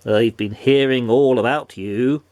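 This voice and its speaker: A man voicing a stern, knowing male wizard in a storybook, speaking in a measured, reproving way. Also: mid-range voice, darkish tone, dry recording, natural voice.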